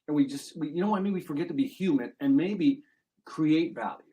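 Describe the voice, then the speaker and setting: A man's voice: a little high, animated, brisk, warm tone. A man hosting a sales podcast who chats in a loose, conversational way, often restarting his sentences and laying out his points as numbered items. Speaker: podcast host. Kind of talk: podcast interview.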